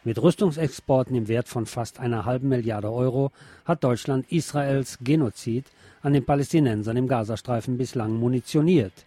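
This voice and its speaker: A male radio presenter reading out press reports and commenting on them in a deliberate, emphatic way. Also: mid-range voice, dark tone, no echo. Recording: music behind the voice. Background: background music.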